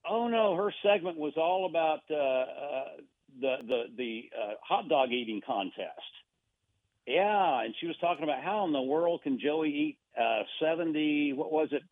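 A man's voice: on the high side, expressive, measured pace, no room sound. A man chatting casually as a radio guest, rambling with many restarts and fillers. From Argentina. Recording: tinny phone-line sound.

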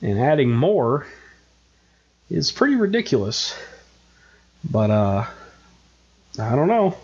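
A man's voice: medium pitch, big pitch swings, slow pace, darkish tone, slightly rough. Male co-host, congested and nasal, loose self-mocking chatter, muffled mic. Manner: rambling, self-mocking, many fillers. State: stuffed up with a cold. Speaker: podcast co-host. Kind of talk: casual chat.

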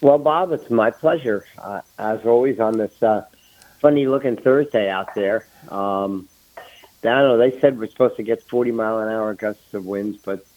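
An older American man chatting casually as a guest, with a halting, hesitant delivery. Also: fairly low pitch, darkish tone, rough recording. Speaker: guest, former mayor. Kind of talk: casual chat.

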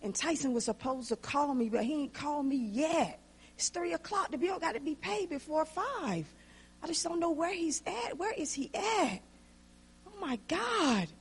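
Brief: woman as an older worried grandmother, high gravelly voice, praying and fretting out loud. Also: lively intonation, normal speed. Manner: frets aloud in prayer, repeating herself. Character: anxious grandmother worried over an unpaid bill. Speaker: grandmother in a skit. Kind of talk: acted drama scene.

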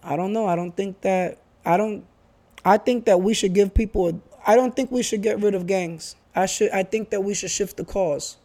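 An earnest man from the Bronx, somewhere in his thirties, talking through his views in a loose, conversational way. His voice sits high for a man yet sounds mellow and soft-edged. He restarts sentences often and repeats himself for emphasis. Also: normal speed. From Bronx, New York. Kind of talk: casual chat.

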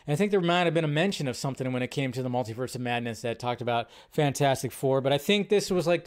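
Casting: male film news host, slightly high-pitched voice, off-the-cuff and rambling, mildly profane. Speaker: host of a live film and comics news show. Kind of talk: casual unscripted commentary on film news.

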